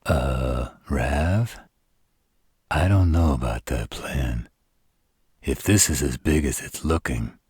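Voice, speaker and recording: Exasperated, loyal male shapeshifter acted by a man, colloquial, unhurried, very low register, close dry audio drama sound. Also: darkish tone, breathy edge, no echo, heavily acted. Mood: worried, reluctant. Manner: blunt, colloquial, sardonic. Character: gruff, wisecracking male shapeshifter bodyguard, loyal but exasperated.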